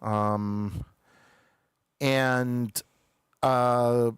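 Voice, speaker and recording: A man hosting a show, chatting casually through episode reviews in a halting way, pausing often mid-thought. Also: mid-range voice, fairly even intonation, clear, resonant tone, no room sound, studio quality.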